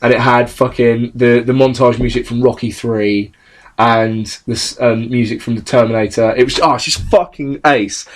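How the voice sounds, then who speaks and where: A man's voice: mid-range voice, fairly even intonation, normal speed. A young man hosting a podcast, chatting casually with friends, swearing freely and rambling through a story with lots of ums. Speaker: podcast host.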